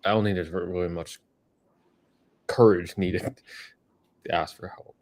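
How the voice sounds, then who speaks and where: Male co-host, low voice, frank motivational tough love. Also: steady tone, measured pace, a little mellow. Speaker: co-host answering chat questions. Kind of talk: casual live-stream chat.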